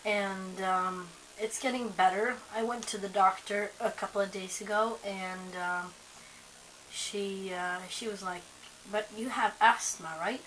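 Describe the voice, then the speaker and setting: A young woman with a hoarse voice from a cold, chatting casually to camera at home, rambling with lots of ums and likes. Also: mid-range voice, fairly even intonation, a little slow, fairly bright tone, slight background noise, poor audio. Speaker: vlogger.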